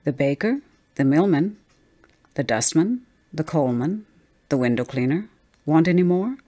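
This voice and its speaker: Woman playing easygoing husband, teasing, practical, breezy, low dark tone, story character. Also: expressive, a little slow. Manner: breezy, reasoning.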